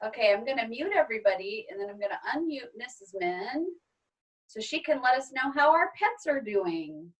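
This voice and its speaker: Woman teacher, distant-sounding and higher-pitched. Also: animated, normal speed. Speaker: teacher hosting an online read-aloud. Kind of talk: casual video-call class talk. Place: online video call. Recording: distant microphone on video call.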